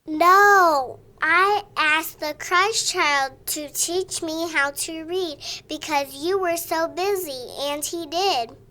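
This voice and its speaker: A performer playing a pious, earnest little girl of about seven in an audio drama, speaking in a deliberate, childlike way. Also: very high-pitched, sharp tone, heavily acted.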